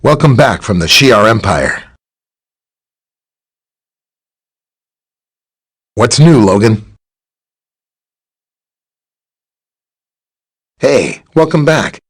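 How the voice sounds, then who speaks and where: Male scientist superhero, husky medium voice, helpful, earnest, technical, video game dialogue. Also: mid-range voice, big pitch swings, a little slow, exaggerated voice. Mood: friendly, welcoming. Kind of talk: scripted game dialogue, explaining and briefing.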